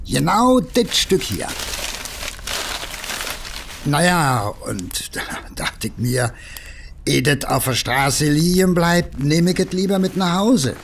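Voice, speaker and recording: An actor playing a humble, nervous working-class messenger with a Berlin accent, rambling and hesitant in colloquial speech, in an audio drama. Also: male voice, a little high, sing-song, slow pace, gravelly, long pauses, fairly bright tone, a little hiss or hum, heavily acted. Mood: confiding, anxious. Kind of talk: German audio drama acting.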